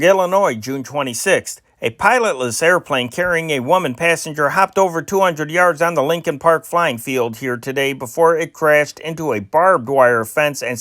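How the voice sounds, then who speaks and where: A man's voice: a little high, fairly bright tone, no echo, clean studio sound. A man reading old newspaper stories aloud, with occasional stumbles and restarts. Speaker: amateur news reader and channel host.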